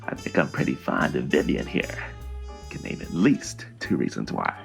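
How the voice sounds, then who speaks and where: Gravelly, very deep leering nightclub boss. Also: male voice, huge pitch swings, normal speed, faint music behind, full character voice. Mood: leering, amused. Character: leering, smug nightclub money man in a noir. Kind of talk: comedy noir audio drama acting.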